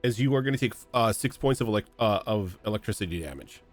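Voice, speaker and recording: A man with a fairly low voice narrates as game master over an online call, pausing often to rephrase. Soft music plays behind him. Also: lively intonation. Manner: hesitant, full of fillers and restarts.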